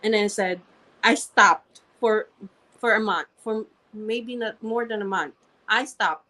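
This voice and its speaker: Slow-talking woman with a strong foreign accent. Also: medium pitch, pushed and bright, poor audio. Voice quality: strong non-native English accent. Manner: earnest and repetitive, restarting phrases often. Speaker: interview guest, registered nurse and online marketer. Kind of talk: candid personal story in conversation.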